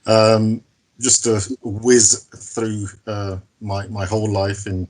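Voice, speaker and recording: A man in his fifties, raised in the United Kingdom and Nigeria, introducing himself on a panel with relaxed, self-deprecating hesitations. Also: on the low side, slow, rough recording. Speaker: private client adviser.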